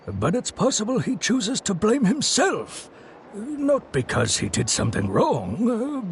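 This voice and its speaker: Earnest high-voiced young man, animated intonation. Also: slight background noise, full character voice. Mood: sympathetic, wistful. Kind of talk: scripted game dialogue, storytelling.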